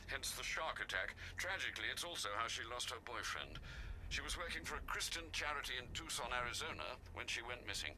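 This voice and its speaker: An actor playing an older, dutiful butler in a video game, formal, measured and dryly wry. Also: male voice, medium pitch, pushed and bright, slight background noise, low-quality recording. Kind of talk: video game cutscene acting.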